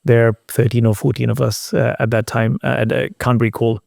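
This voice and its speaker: Guy podcast host telling stories casually, gravelly fry in his voice, dry sound. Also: medium pitch, little pitch movement, brisk, darkish tone, no room sound. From outside the UK, moved to London as an adult. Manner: informal, emphatic, mild swearing. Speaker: interview host. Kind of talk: casual personal storytelling in conversation.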